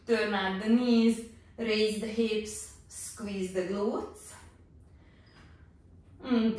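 A woman in her forties guides exercises in a steady, unhurried voice, pausing on fillers. Her voice bounces around a very echoey home studio and sounds far from the camera. Her pitch sits in a plain middle range. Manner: calm, unhurried cueing with many fillers. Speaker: pilates instructor.

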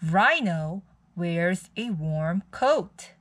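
A woman's voice: lowish voice, expressive, very slow. A woman reading a picture book aloud for young children as a storytime host.

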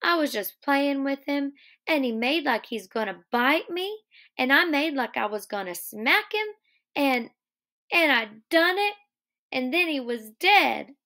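A woman reads out a simple-minded male farmhand from a novel. He sounds childlike, fearful and sorrowful. His rural speech is halting and sits fairly high. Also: lively intonation, sharp tone, full character voice. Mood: miserable. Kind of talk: dramatic reading of a novel.

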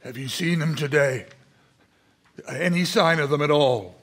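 Haunted bereaved husband played by a male actor, terse and insistent, higher-pitched voice, radio drama. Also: unhurried, a bit bright. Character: grief-broken, haunted working man, quiet but menacing. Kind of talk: live audio drama acting.

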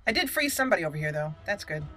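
A woman hosting a casual let's play, chatty and expressive as she talks to the game characters. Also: fairly low pitch, rapid-fire. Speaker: gaming channel host. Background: game music.

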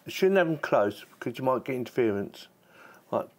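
A man's voice: mid-range voice, very animated, fairly quick. A man hosting a casual product review, chatting informally and thinking aloud as he demonstrates the gear. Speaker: tech reviewer and channel host.

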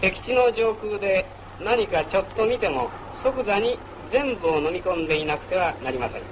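High-pitched male vintage film narrator. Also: lively intonation, normal speed, a little hiss or hum, rough recording. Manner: formal, instructional. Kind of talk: scripted narration, 1940s military training film. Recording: old wartime film soundtrack. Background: music.

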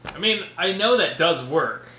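Bright-voiced man in an echoey room. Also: on the high side, animated, unhurried. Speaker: off-camera co-host. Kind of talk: casual chat, offhand commentary. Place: living room, indoors, off camera. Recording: roomy, echoey, faint noise.

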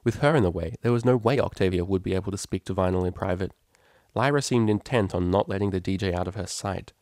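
A man with a fairly low voice narrates a written story. The recording is dry, with little room sound. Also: quick pace, darkish tone, no echo. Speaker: audiobook narrator.

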